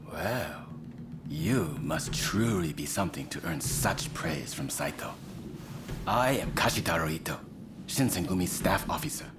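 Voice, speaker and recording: A man's voice: sits low, expressive, a little slow. A man playing a dignified samurai staff officer in a video game, speaking formally and courteously. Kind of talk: dramatic video game acting. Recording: clear background ambient noise.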